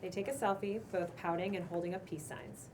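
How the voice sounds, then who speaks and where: A woman's voice: lowish voice, a little fast, a little mellow. A woman reading stage directions as scripted narration at a casual table read. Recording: distant room mic, clear background noise.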